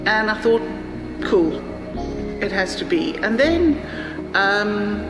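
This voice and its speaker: A woman's voice: medium pitch, animated, slow. A middle-aged woman from Zambia with a Southern African accent, telling her own travel story in a conversational, reflective, anecdotal way. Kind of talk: personal storytelling, conversational interview narration. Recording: echoey, reverberant room with music behind. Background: music.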